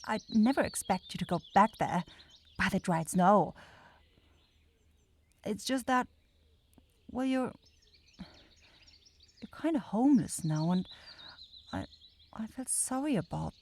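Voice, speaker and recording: A woman's voice: mid-range voice, lively intonation, slow pace, pauses often, darkish tone. A woman voicing a sweet, clumsy forest witch in a fantasy ASMR roleplay, stammering and hesitant as she talks. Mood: shy, tender. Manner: stammering, hesitant, breaks off and repeats words.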